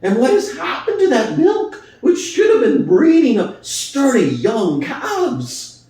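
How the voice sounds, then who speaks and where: An aged boar, performed by a man, speaks like a revolutionary orator, his voice leaping high and low as he drives each point home. He speaks slowly and deliberately. The reading comes from a far-off mic in a very echoey room. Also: huge pitch swings, mellow, exaggerated voice. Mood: rousing. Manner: impassioned speechmaking, rhetorical questions, swelling emphasis. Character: old prize boar, wise, rousing revolutionary orator. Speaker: reader. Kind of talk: dramatic audiobook reading.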